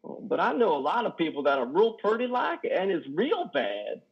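A man in his thirties playing a lonely, guilt-ridden, simple-minded trucker in a play reading, with halting, folksy speech. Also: sits high, huge pitch swings, creaky, slightly rough, heavily acted. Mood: troubled, intense. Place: indoor room on a video call. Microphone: headset. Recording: muffled video-call audio.

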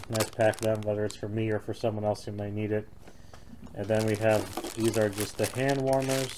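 A man with a lowish, fairly flat voice talks casually through his fishing gear, filling gaps with "uh" and "um" as his hands move things around. The sound is a close-up home video in a garage, with steady background noise and crinkling packaging nearby. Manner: relaxed, filler-heavy, hands-on while handling gear. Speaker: fishing channel host. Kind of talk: casual show-and-tell of gear. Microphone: camera held close, filming his hands.

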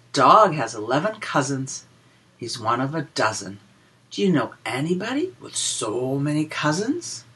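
A woman reading a picture book aloud to young children in a sing-song, deliberate way, explaining and counting as she goes. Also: rumbling low, a bit bright, husky. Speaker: children's story reader and teacher.

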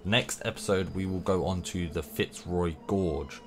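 A man's voice: low voice, steady tone, measured pace. A young man with a runny nose giving rambling, playful commentary while playing a game. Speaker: gameplay streamer. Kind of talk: casual gameplay commentary. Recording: noisy game sound behind voice.

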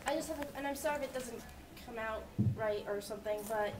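A woman's voice: on the high side, fast. A woman in an audience asking a casual question in a tentative, apologetic way. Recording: distant camera mic, room noise.

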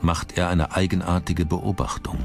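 Low male narrator doing a history doc, measured and dramatic, music under him. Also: little pitch movement. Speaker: documentary narrator. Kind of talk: scripted narration. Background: background music.

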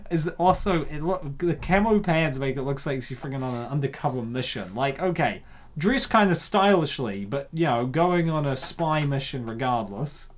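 A man narrates a comic with very animated pitch. He is theatrical and slangy, mixing scripted reading with casual riffing. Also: moderate pace, a little hiss or hum. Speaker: narrator and commentator.

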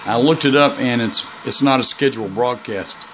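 A man talking casually as a hobbyist vlogger, halting and unhurried as he explains things. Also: mid-range voice, pushed and bright, a little hiss or hum, poor audio. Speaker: shortwave radio hobbyist vlogger. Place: indoors, small room beside radio gear.

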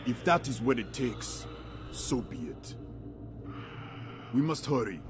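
A man's voice: mid-range voice, very animated, slow pace. A man playing a fierce, proud alien warrior in a video game, speaking in solemn, formal phrases. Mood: grudging, solemn. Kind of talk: dramatic video game acting. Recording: music behind.

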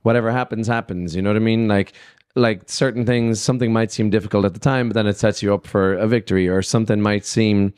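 A young man with a lowish voice speaks into a handheld mic in a festival backstage room. He talks in a relaxed, meandering way, filling gaps with "like" and "you know". His tone stays easygoing and conversational. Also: fast, clear, resonant tone. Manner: relaxed, rambling, many "like" and "you know" fillers. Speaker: musician guest. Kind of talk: casual interview chat. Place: backstage lounge at a festival, indoors.

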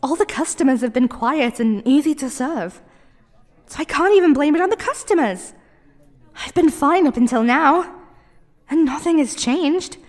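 A woman's voice: high-pitched, animated, moderate pace, no room sound. A woman playing a clumsy, flustered young barista who stammers and apologizes in an audio roleplay. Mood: frustrated muttering. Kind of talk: ASMR roleplay acting.

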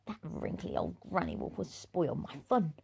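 A woman's voice: deep voice, wildly swooping pitch, a little slow, vocal fry, darkish tone, husky, exaggerated voice. A woman voicing a rude, mean little girl bully in a storybook read-aloud, brash, mocking and crude. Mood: scheming.

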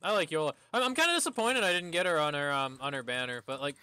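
A man's voice: a little high, quick pace, pushed and bright, clean tone. A man streaming a video game with casual, laid-back, rambling commentary.

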